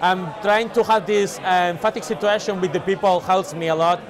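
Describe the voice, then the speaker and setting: A man with a Spanish accent, a native Spanish speaker, giving casual, halting interview answers as an event organiser. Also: sits high, steady tone. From Spain. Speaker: meetup organiser. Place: large busy indoor venue. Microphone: clip-on lapel. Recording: busy crowd chatter behind.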